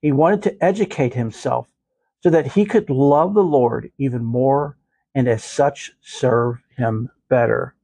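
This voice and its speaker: A man's voice: medium pitch, measured pace, creaky, mellow, husky, no echo, rough recording. A man hosting a faith-based podcast, earnest and effusive, sounding nasal and congested from the flu, chatting and then reading a saint's story aloud. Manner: earnest, effusive, jokes with himself. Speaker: podcast host.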